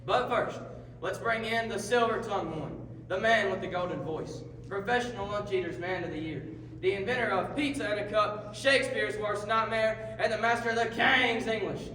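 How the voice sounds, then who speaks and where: Folksy radio host puppet played by a man, wry patter, high voice, noisy distant pickup. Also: normal speed, pushed and bright. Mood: upbeat showman. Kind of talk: comedic radio talk show skit. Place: live performance in a large hall. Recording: echoey hall from afar, background noise.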